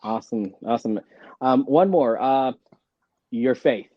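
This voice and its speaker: A man's voice: medium pitch, a little slow, dry recording, muffled recording. A man hosting a casual interview, giving brief prompts and short reactions. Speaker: podcast host, interviewer. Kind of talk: casual word-association interview.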